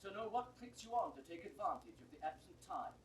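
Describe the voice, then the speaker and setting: An urgent British noble envoy in classical stage style. His high, challenging voice rises and falls sharply, heard in an echoing space. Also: very animated, brisk. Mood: formal, challenging. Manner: formal, challenging. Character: stern, urgent noble messenger of an English regent. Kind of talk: classical Shakespearean stage acting. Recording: distant, echoing, hissy 1960s recording.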